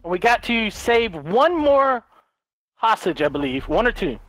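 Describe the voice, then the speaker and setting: Excitable hoarse man gaming with a friend. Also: sits high, a little slow. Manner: excitable, laughing, bursts into shouts. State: hoarse from talking. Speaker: co-op gaming guest. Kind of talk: casual gameplay chat.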